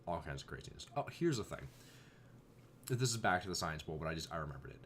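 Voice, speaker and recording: A young man vlogging in casual chat, rambling with exasperated asides, his voice going a bit hoarse. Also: very deep voice, big pitch swings, a little fast, slight background noise.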